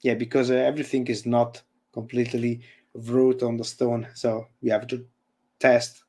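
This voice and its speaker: A man's voice: medium pitch, little pitch movement, moderate pace, a little mellow. A man from Italy with an Italian accent, presenting on a video call, searching for words with many fillers and restarts. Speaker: guest presenter and university lecturer. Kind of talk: casual chat and live coding demo on a video call. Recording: audio fading in and out.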